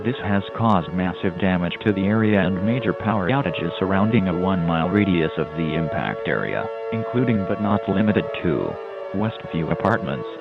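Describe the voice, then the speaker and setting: A man's voice: low-pitched, lively intonation, moderate pace, over loud music. A man playing a grave, official emergency alert announcer, reading a formal, impersonal message in a muffled broadcast recording.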